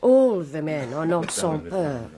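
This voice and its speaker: A woman's voice: sits low, big pitch swings, slow, a crowd in the background, full character voice. An actress playing a scheming, haughty middle-aged aristocratic mother in a period radio drama, with an arch, insinuating manner. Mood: imperious, coy. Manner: arch, insinuating, mock-reluctant.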